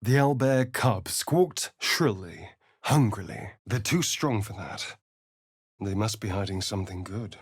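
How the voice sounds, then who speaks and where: A man's voice: mid-range voice, husky, no room sound, clean studio sound. A man playing a sly, centuries-old vampire spawn in a video game, arch, flamboyant and teasing, with precise enunciation. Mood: dry, wry. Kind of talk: video game character voice lines and narration.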